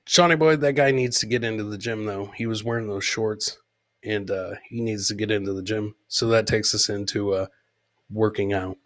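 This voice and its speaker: A man's voice: on the low side, fairly even intonation, normal speed, a bit bright. A man hosting a live comedy podcast, casually commenting on fail clips and repeating his own lines as he chats with viewers.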